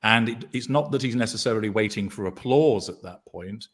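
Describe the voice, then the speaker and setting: Man, deep baritone, measured guest commentator with dry humor. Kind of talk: casual chat.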